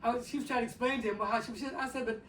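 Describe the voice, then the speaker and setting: Fast-talking older man in an echoey room. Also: very high-pitched, slight background noise. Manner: animated, laughing, repeats words, quotes people. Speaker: father in a family circle. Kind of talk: storytelling in a community circle. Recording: echoey room, distant mic.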